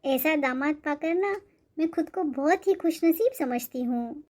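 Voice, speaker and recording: A humble cartoon mother voiced by a woman speaks in a high voice. She is tender and earnest. Her manner is grateful and self-sacrificing. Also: unhurried, a little mellow. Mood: grateful, emotional. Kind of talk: Hindi cartoon moral-story voice acting.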